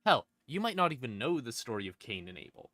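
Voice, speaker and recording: A man's voice: medium pitch, big pitch swings, quick pace, sharp tone, dry recording, clean studio sound. A man casually explaining lore as a streamer, irreverent and sweary, with wry asides. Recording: eerie ambient music behind voice. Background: creepy ambient music.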